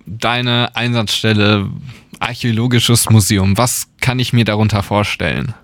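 A young man in his twenties hosts a radio segment and interviews a guest in an upbeat, friendly manner. He speaks slowly, in a somewhat low voice. The sound is dry and close, with no room around it. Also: steady tone, fairly bright tone, no room sound. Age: 20s.

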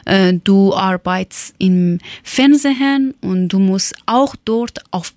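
Halting woman with a Dari accent. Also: mid-range voice, darkish tone, no room sound, studio quality. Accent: Afghan Persian (Dari). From Afghanistan, Herat. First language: Persian (Dari). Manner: halting, searching for words, many fillers and self-corrections. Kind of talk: casual personal account.

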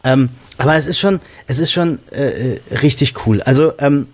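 A man's voice: medium pitch, normal speed. A man hosting a casual podcast conversation, speaking colloquially and candidly with a joking streak. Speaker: podcast host.

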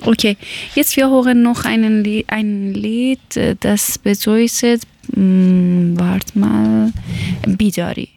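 A woman, chatting casually and often pausing to search for words. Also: mid-range voice, fairly even intonation, creaky, dark tone, slight background noise. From Afghanistan. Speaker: conversation partner. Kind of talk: casual chat in learner German.

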